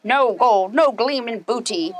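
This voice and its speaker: A woman's voice: fairly high pitch, measured pace, a little hiss or hum, close to an everyday voice. A woman voicing a stubborn, gold-greedy male pirate captain in a storybook, growling and drawing out his pirate talk. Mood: gruff, cursing.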